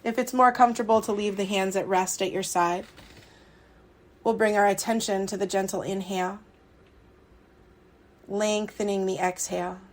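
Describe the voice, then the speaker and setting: A woman leading a guided relaxation meditation, speaking soothingly and unhurriedly in short phrases with long pauses. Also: medium pitch, fairly even intonation. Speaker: meditation guide, yoga teacher. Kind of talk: guided yoga nidra meditation.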